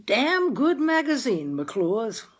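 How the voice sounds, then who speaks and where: A crotchety, stubborn father from a storybook, played by a woman, husky and blunt. The folksy lines are declaimed with swooping, emphatic pitch. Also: sing-song, takes it slowly, exaggerated voice.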